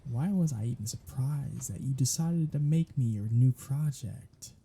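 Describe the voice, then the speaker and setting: A man's voice: medium pitch, measured pace, vocal fry, background noise. A man voicing a sardonic, flirtatious male vampire held captive on a road trip, in an ASMR audio drama. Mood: teasing. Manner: teasing, sardonic, flirtatious banter.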